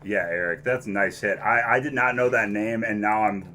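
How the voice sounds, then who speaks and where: A man's voice: fairly low pitch, normal speed, pushed and bright. A man hosting a live memorabilia break, upbeat and casual, reading chat comments aloud. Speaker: host of memorabilia box-break stream.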